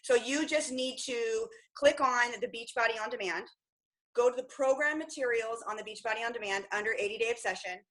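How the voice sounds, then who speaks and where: A woman nutrition coach giving energetic, upbeat advice on a group call, often checking in with the listeners. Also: fairly high pitch, a little fast, low-quality recording.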